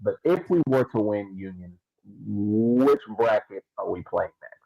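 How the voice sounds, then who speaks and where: A man's voice: fairly low pitch, expressive, measured pace, vocal fry, dry recording. A man in a casual sports discussion, speaking as a podcast panelist on a dull, lo-fi recording. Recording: dull, lo-fi, dry close sound.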